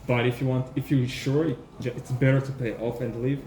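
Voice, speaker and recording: A man's voice: mid-range voice, little pitch movement, brisk, a little mellow, a little hiss or hum. A man from Rwanda working as a phone shop salesperson, chatting in a friendly way and agreeing often. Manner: friendly, lots of yeahs. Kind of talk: casual chat. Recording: echoey room, faint music.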